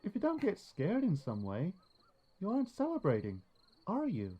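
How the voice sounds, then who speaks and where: A man's voice: high-pitched, huge pitch swings, normal speed, highs cut off, exaggerated voice. A man playing a teasing, level-headed young male pony in an audio story. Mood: curious.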